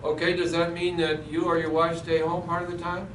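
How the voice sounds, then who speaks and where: Casting: man running a church class, somewhat high voice, stop-start and repetitive, caught from a distance in an echoey room. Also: flat delivery, noisy background. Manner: halting, repeats words. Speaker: Sabbath School class leader. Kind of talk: casual class interview.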